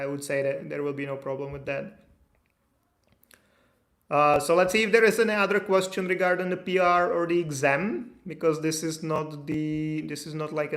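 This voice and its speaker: Slightly high-voiced man, echoey room. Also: fairly bright tone. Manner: reads out viewer questions, then answers with frequent fillers and restarts. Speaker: immigration consultant answering live chat questions. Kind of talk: casual live stream Q&A.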